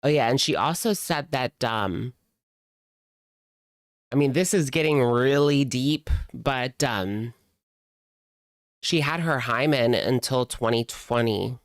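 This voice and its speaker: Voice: mid-range voice, lively intonation, takes it slowly, pauses often, no echo, studio quality. A streamer giving casual reaction commentary in a gossipy, sardonic, conversational way.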